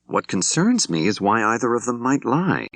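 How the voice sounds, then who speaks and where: A man voicing a level-headed law professor turned amateur sleuth in an audiobook, with direct, measured questioning. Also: mid-range voice, sing-song, a bit bright, no echo, pitched above the performer's own voice. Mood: probing, calm.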